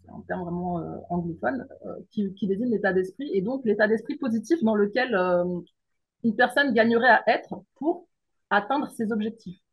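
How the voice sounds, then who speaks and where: A woman's voice: mid-range voice, animated, normal speed, husky, muffled recording. A middle-aged woman from the Paris region, a guest coach, talking thoughtfully with many fillers and restarts. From France, Paris region. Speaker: guest, mindset coach and teacher. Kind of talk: remote interview conversation.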